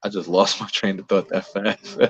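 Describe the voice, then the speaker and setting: A man's voice: low-pitched, level tone, takes it slowly, a little hiss or hum. An American man talking casually and explaining things as an interview guest, in a conversational way. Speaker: playwright and producer being interviewed. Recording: roomy echo, dull lo-fi sound.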